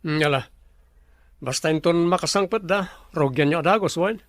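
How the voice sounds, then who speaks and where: A man's voice: on the high side, normal speed, no echo, clean studio sound, natural voice. An actor playing an impatient, bossy male resort owner in an Ilocano radio drama.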